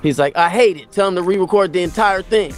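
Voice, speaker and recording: A man's voice: high-pitched, fast, faint music behind. A middle-aged man from Los Angeles, a singer telling studio stories on a video call, acting out what others said. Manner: animated storyteller, acts out quotes. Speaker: R&B singer, guest. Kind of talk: casual storytelling interview. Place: small room indoors. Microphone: webcam on a video call.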